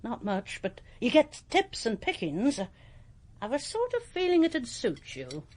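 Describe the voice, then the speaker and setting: A woman's voice: fairly high pitch, wildly swooping pitch, unhurried, darkish tone, a little hiss or hum. An actress playing a kindly, plain-spoken old country landlady in a radio drama, with an English accent and a homely, good-humoured, matter-of-fact manner. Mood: frank, kindly. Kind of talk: naturalistic radio drama acting.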